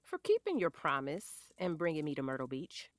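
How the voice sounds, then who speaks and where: Animated, lowish sassy wife acted by a woman. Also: normal speed, no echo, studio quality. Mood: playful, fond. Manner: sassy, playful, affectionate. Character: affectionate, sassy middle-aged wife and doting mother.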